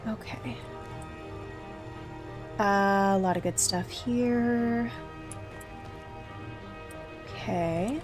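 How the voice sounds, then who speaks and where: A woman's voice: medium pitch, drawn-out words, long pauses, music in the background. A woman giving casual, chatty gameplay commentary, thinking aloud with playful little exclamations. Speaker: gamer streaming a blind play-through.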